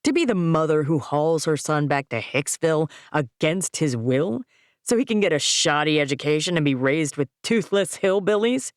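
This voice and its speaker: A man's voice: on the high side, animated, moderate pace, clear, resonant tone, dry recording, clean studio sound, natural voice. A woman voicing a smug, wealthy man talking down to his ex-wife in a condescending, pushy way. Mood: smug, contemptuous. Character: smug wealthy adult man, scornful ex-husband.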